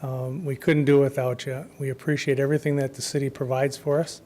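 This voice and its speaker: A man speaking as a festival co-chair before a city commission, his voice going hoarse, informal with many ums, trailing off quietly at the ends of phrases. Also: medium pitch, flat delivery, fast. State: losing his voice. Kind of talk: public comment at a council meeting. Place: council chamber.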